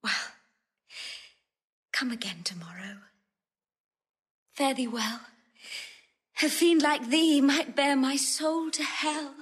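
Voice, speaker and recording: An actress playing a proud young noblewoman in love, earnest and pleading, with breathy delivery in Shakespearean verse drama. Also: sits high, slow, long pauses. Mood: yearning. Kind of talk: theatrical Shakespearean romantic acting.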